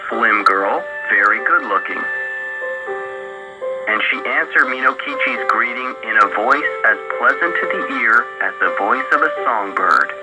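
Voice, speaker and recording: A man narrating a scripted literary tale in a measured storytelling manner for a radio broadcast. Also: mid-range voice, animated, rough recording. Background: loud music.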